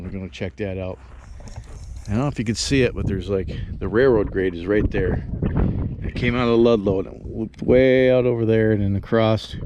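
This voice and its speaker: A man giving casual outdoor travel narration to camera as a vlogger, exploring out in the open. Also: fairly low pitch. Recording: windy outdoor recording with loud noise. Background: loud wind noise.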